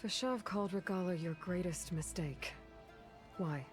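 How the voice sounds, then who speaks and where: An actress playing a direct, serious young outsider warrior who questions probingly in a video game. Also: female voice, on the low side, measured pace, music in the background, lightly acted. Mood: probing, pressing. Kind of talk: video game dialogue acting.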